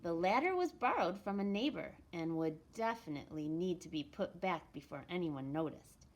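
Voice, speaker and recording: A woman reads a children's picture book aloud in an expressive storytelling manner, with deliberate pauses and emphasis. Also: on the low side. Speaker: elementary school storytime reader.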